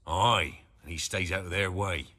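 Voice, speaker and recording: A man playing an anxious village innkeeper in a fantasy video game, with a rough edge to his voice. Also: sits low, moderate pace, pushed and bright. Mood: wary, subdued. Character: anxious rustic male innkeeper in a war-torn village.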